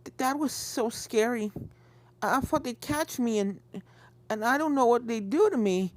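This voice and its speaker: A woman's voice: fairly high pitch, normal speed, no echo, pitched above the performer's own voice, lightly acted. A woman voicing a frightened, earnest young pony girl in a story reading, hesitant and pleading, with music behind. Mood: scared. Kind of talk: fan fiction story reading.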